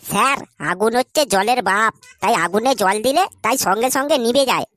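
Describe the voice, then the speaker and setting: A woman's voice: a little high, a little fast, a bit bright, lightly acted. A woman voicing an eager, cheeky young school pupil in a comedy cartoon, earnest and keen to answer. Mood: cocky.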